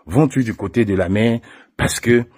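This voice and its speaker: A man's voice: on the low side, moderate pace, a little mellow, breathy edge, no echo, clean studio sound. A man with a Cameroonian French accent delivering an emphatic, accusatory political monologue, addressing opponents directly as an activist.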